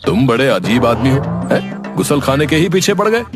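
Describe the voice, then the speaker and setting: Guy doing a blunt builder in a cartoon, mid pitch swinging all over, incredulous, music behind. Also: big pitch swings, moderate pace, close to an everyday voice. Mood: exasperated. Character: skeptical, exasperated male palace mason. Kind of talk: comedy cartoon story acting.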